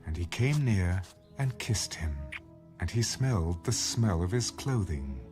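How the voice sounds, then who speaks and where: A man narrating a dramatised audio Bible as scripted storytelling, with measured phrasing. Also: deep voice, animated. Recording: background music under the voice.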